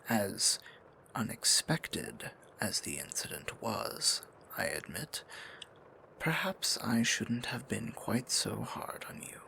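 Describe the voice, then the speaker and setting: A man playing a tender, possessive, courtly dark sorcerer in an intimate fantasy ASMR roleplay, speaking formally in a breathy, gravelly near-whisper. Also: lowish voice, very animated, full character voice, low-quality recording. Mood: reassuring. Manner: formal, archaic endearments, slow deliberate phrasing.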